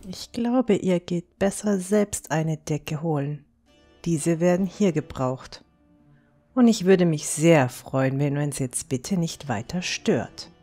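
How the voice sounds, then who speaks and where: A woman playing a stern, protective non-human young woman in a fantasy audio drama, speaking gravely and firmly. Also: low-pitched, warm tone, soft background music, heavily acted. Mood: icy, firm. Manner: firm, grave, measured.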